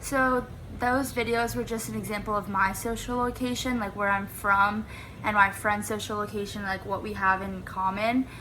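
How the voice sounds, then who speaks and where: A woman's voice: medium pitch, steady tone, moderate pace, bright, forceful tone, a little hiss or hum, low-quality recording. A nineteen-year-old woman casually explaining a class project, with lots of filler words. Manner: rambling, heavy use of "like" fillers. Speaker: student presenting a class project.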